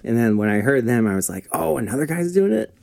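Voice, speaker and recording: A man's voice: mid-range voice, big pitch swings, fast, gravelly, darkish tone. A young man, a guest musician, chatting casually and laughing often at himself. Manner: laughs often, self-deprecating. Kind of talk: casual podcast chat.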